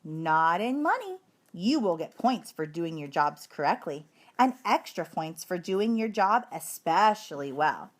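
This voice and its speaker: A woman voicing a kindly but firm female schoolteacher who addresses her class in a deliberate, instructive way. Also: fairly low pitch, big pitch swings.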